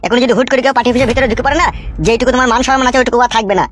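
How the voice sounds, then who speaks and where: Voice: mid-range voice, fairly even intonation, rapid-fire, slight background noise, natural voice. A voice actor playing a foul-mouthed male henchman in a cartoon, crude and mocking. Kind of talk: cartoon voice acting.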